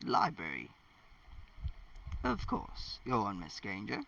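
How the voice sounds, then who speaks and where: A man's voice: on the low side, wildly swooping pitch, takes it slowly, vocal fry, bright, forceful tone, pitched below the performer's own voice, heavily acted. A man reading a storybook's elderly headmaster, polite, mild and slightly befuddled. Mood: resigned. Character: elderly male school headmaster, genial, slightly bemused. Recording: distant mic, dull lo-fi sound.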